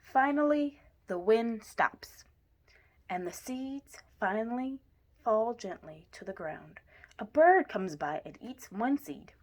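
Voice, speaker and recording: A woman reading a children's picture book aloud in an expressive way, with deliberate emphasis. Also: on the high side. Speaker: story reader.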